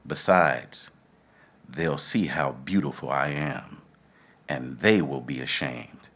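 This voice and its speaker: A middle-aged man emceeing a live event, speaking in measured, deliberate phrases. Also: rumbling low, fairly bright tone, slightly rough. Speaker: event host. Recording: live event with faint background noise.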